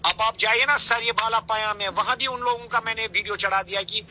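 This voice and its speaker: A man's voice: high-pitched, steady tone, fairly quick. A man with a Kashmiri accent giving a heated phone interview in an emphatic, accusatory, insistent manner. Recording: bright, noisy phone call recording.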